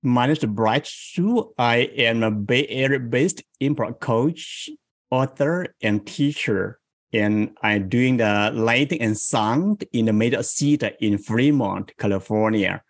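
A man with a Chinese accent speaks at an unhurried, deliberate pace. He is a guest in an interview, thinking aloud as he tells his memories. The sound is close and dry, with little room around it. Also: medium pitch, no room sound. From South China. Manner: slow, thoughtful, reflective storytelling. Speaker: guest, improv coach. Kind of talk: interview conversation.

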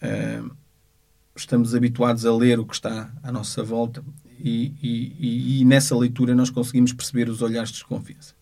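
A man with a European Portuguese accent speaking unscripted as a lawyer, in a measured, halting way as he searches for words. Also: medium pitch, completely flat delivery, warm tone. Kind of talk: unscripted spoken account of a court case.

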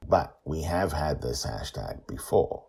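Very deep, gravelly, animated male storyteller. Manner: animated, emphatic, dramatic pauses. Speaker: storyteller, podcast host. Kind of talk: expressive storytelling with casual asides.

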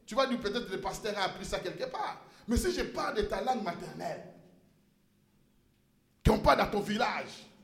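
High-pitched guy preaching in church, gets shouty, stumbles on words, lots of room echo. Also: lively intonation, normal speed, gravelly, long pauses. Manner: impassioned preaching, rises to shouts and repeats words. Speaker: pastor. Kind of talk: sermon.

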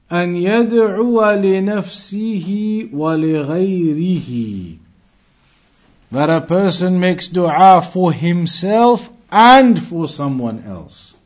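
A man giving an Islamic lesson as a teacher, reciting texts and explaining them phrase by phrase in a deliberate, repetitive way. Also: a little high, a little mellow. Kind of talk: religious lesson, reciting Arabic texts then translating phrase by phrase.

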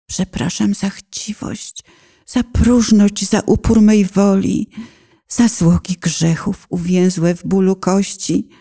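A middle-aged woman giving a solemn, dramatic recitation of a poetic prayer in a penitent, emotive and measured manner. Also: mid-range voice, warm tone. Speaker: narrator reciting own poetic testimony.

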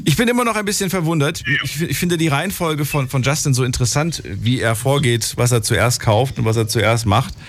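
Calm late-night radio host, man. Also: medium pitch, a little fast, hardly any pauses, noisy background. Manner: calm, curious questioning of callers. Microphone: studio microphone.

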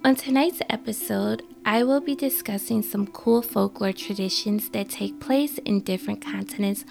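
A hoarse woman hosts a chatty folklore show, her voice heavily gravelly with fry. She reads her notes, then drops in quick asides. Faint music plays softly behind her. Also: mid-range voice. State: hoarse from a cold. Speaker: podcast host. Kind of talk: casual folklore storytelling.

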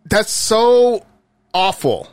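A man's voice: high voice, very animated, drawn-out words, clear, resonant tone, no room sound. A man hosting a podcast in a casual chat, swearing often and rambling with fillers and restarts. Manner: loose and profane, rambling with fillers. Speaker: podcast host; musician.

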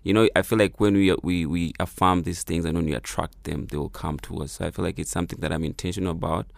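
A very deep, gravelly man's voice, recorded dry and close. He grew up in Kenya with Swahili as his first language, and he talks in an easygoing, reflective way, searching for words with lots of fillers and restarts. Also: fairly even intonation, quick pace, dry recording. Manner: reflective, many fillers and restarts, laughs easily. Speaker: musician guest. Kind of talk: casual interview.

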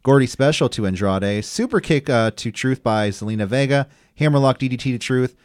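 A man's voice: mid-range voice, brisk, no echo, clean studio sound. A man co-hosting a casual podcast, running through wrestling results from his notes with frequent ums and uhs. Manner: reads match notes, many ums and uhs. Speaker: podcast co-host recapping wrestling. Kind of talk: casual chat, play-by-play recap.

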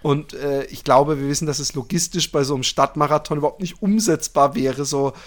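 Higher-voiced man in casual, emphatic podcast chat. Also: brisk. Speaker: podcast host.

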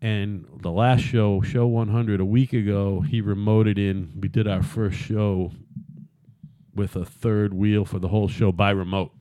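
A man's voice: lowish voice, little pitch movement, unhurried, mellow, dry recording. A man in his fifties hosting a podcast, chatting casually, rambling and swearing freely. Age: 50s. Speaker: podcast host. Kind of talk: casual chat.

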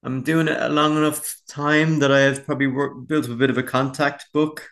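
A man's voice: medium pitch, little pitch movement, fairly quick, a bit bright. An Irish man, a musician being interviewed, chatting casually in a candid, rambling, self-deprecating way. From Dublin, Ireland. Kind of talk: casual chat.

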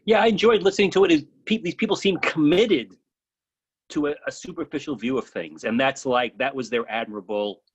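A man with a raspy voice shares his thoughts informally. He is reflective and a little halting as he speaks. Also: a little high, highs cut off. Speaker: participant in a play reading. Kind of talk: casual discussion.

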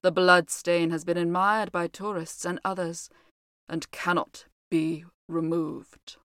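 A woman voicing a grim, mysterious old housekeeper who speaks low, slowly and in a hush. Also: level tone, no room sound, studio quality. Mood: ominous. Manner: low, slow, hushed.

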